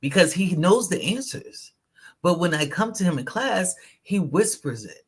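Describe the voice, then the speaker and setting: A woman with a slightly husky voice who co-hosts a live chat show. She tells stories with animation and drops into casual asides. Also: on the low side, moderate pace. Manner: lively storytelling, animated and chatty. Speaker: co-host of a spiritual live show.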